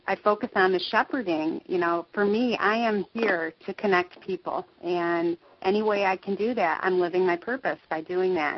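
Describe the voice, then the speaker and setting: Woman lawyer, casual chat, upbeat, laughs easily, muffled lo-fi sound. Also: medium pitch. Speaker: lawyer and networker. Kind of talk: casual conversation. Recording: dull lo-fi audio.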